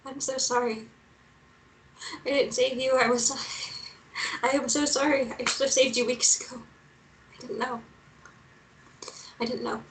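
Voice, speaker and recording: A woman's voice: high voice, fairly even intonation, measured pace, long pauses, slight background noise. A woman playing a frightened, remorseful and fiercely protective young woman on the run, urgent and emotionally raw, in a screenplay table read. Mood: tearful remorse.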